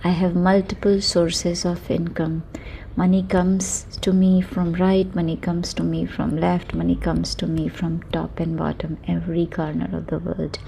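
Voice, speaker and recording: A woman with a soft, mellow tone leads a guided sleep meditation. She speaks very slowly and soothingly, leaving long pauses between short affirming phrases. A faint ambience sits behind her voice. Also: lowish voice, level tone, gravelly, slight background noise.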